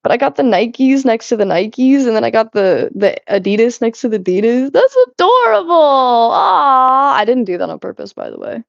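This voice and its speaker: A woman's voice: on the high side, sing-song, normal speed, creaky, few pauses, dry recording. A young adult woman streaming while she cleans, chatting loosely with her viewers, giggling and swearing often. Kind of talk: casual live-stream chat while cleaning. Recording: slightly muffled, dry sound.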